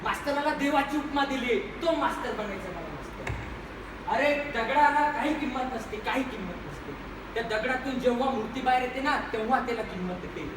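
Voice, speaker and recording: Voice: medium pitch, lively intonation, fairly quick, close to an everyday voice. An actor playing a bold, earnest schoolchild, declaiming with repeated phrases in an amateur stage play. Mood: impassioned. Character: bold, earnest schoolchild who idolises the teacher. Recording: echoey hall from far off, noisy.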